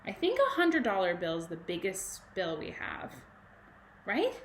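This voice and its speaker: Kindergarten teacher reading aloud, some background noise. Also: female voice, medium pitch, measured pace. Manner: animated, simple child-directed explaining with questions. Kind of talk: children's read-aloud with chatty asides.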